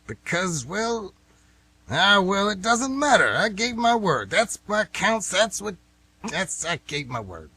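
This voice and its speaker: A man's voice: sits high, sing-song, slow, sharp tone, breathy edge, no room sound, exaggerated voice. An actor with an American accent playing an indignant, stubborn older man, folksy and emphatic, in a radio play. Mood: defensive. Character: stubborn, indignant old male former baseball fan.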